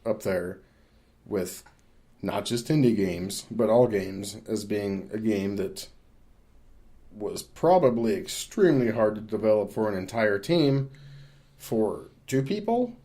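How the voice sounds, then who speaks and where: A man with a somewhat low voice speaks as a podcast co-host. His talk is casual and opinionated, delivered in a measured, matter-of-fact manner. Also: lively intonation, warm tone.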